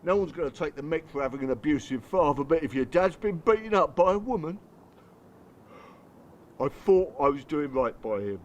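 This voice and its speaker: A man's voice: a little high, lively intonation, moderate pace, lightly acted. Actor playing a wounded English father, noisy background. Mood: bitter, upset. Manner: colloquial, blunt, emotionally strained storytelling. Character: wounded working-class father abused by his wife, bitter, confessional. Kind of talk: radio drama dialogue and monologue.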